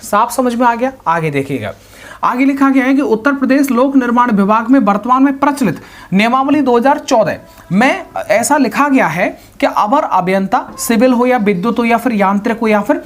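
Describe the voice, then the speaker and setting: A man hosting an explanatory talk in a didactic way, mixing in English words and often checking that listeners follow. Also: very high-pitched. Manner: mixes English words into speech. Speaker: host of a job exam news channel.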